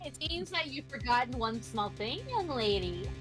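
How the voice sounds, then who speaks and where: A woman's voice: fairly high pitch, big pitch swings, moderate pace, over music. A woman voicing a stern, condescending prosecutor in formal courtroom style for a video game read-along. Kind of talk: video game voice-over on livestream.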